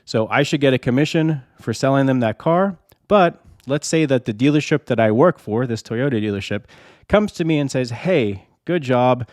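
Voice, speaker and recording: A man's voice: mid-range voice, animated, normal speed, a little mellow, dry recording, studio quality. Under-the-weather man explaining casually. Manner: chatty, repeats himself, builds a long hypothetical with rhetorical questions. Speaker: reseller channel host.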